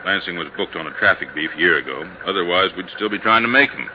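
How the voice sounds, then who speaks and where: Deep-voiced, laconic police sergeant. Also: male voice, lively intonation, fast. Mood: matter-of-fact. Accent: American. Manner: terse, matter-of-fact. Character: laconic, plain-spoken male police sergeant, matter-of-fact. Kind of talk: 1950s radio drama acting. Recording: muffled, noisy 1950s radio recording.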